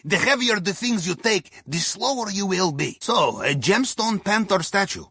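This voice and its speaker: An actor voicing a wry, jovial Russian submarine captain who guides heists in a video game, with a Russian accent and a husky voice. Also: male voice, high voice, animated, moderate pace, pushed and bright, no echo, exaggerated voice. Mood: wry, playful. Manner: wry, playful, conspiratorial asides. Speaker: mission guide character in an action video game. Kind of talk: scripted video game mission voice lines.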